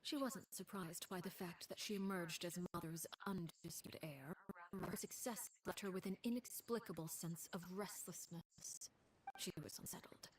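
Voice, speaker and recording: A woman playing a cold, composed crime-house leader in a video game, telling a dark past in a hushed, measured, formal voice. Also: on the low side, close to an everyday voice, poor audio. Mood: cold, solemn. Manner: measured, formal, deliberate storytelling. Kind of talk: video game voice acting, dramatic narration.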